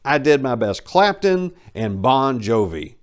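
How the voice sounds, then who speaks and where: A man's voice: mid-range voice, sing-song, a little slow. A man narrating a first-person memoir as a dramatic reading, in a brash, wry, colloquial storytelling manner.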